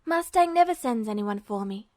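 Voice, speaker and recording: A woman's voice: fairly high pitch, lively intonation, normal speed, a little mellow, no room sound, clean studio sound, natural voice. A woman voicing the sarcastic inner thoughts of an irritated teenage boy.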